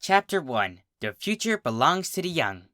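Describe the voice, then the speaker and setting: Bright-voiced man narrating a dramatic fantasy tale. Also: fairly high pitch, very animated. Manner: theatrical, deliberate storytelling. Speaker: fan fiction reader, narrator. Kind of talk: dramatic scripted story narration.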